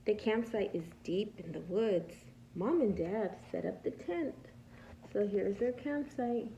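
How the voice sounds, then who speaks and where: A woman reads a picture book to small children like a patient preschool teacher, stopping to ask them simple questions. Her delivery is slow, with a creaky edge to the voice. The recording is a slightly muffled, lo-fi home one. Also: mid-range voice, dark tone, slight background noise. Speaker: preschool teacher reading aloud. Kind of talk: picture-book read-aloud for young children.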